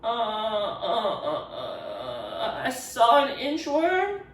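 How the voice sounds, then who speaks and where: A man voicing a shy little schoolboy in a storybook, halting and stretching out his words. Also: extremely high pitch, huge pitch swings, a bit bright, pitched above the performer's own voice, full character voice. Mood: hesitant. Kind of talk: picture-book character voice. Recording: roomy echo, distant microphone.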